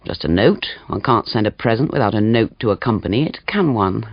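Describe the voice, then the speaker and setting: A man reading as a cold, weary man done with his lover, in an audiobook story. He speaks low, curt and condescending, with scorn in every phrase. The sound is dry and slightly dull. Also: expressive, a little fast, no echo. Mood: cold, scornful.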